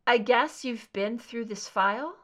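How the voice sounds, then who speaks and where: A woman voicing a probing, concerned male investigator in an audiobook, low-key and questioning. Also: unhurried, fairly bright tone. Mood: probing, dry. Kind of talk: fan fiction audiobook dialogue.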